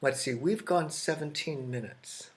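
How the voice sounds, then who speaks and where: An American man teaching an English grammar lesson, explaining slowly and repeating key phrases, often restarting his sentences. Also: on the high side, slight background noise. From United States. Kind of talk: classroom grammar lesson at a whiteboard. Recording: echoey classroom.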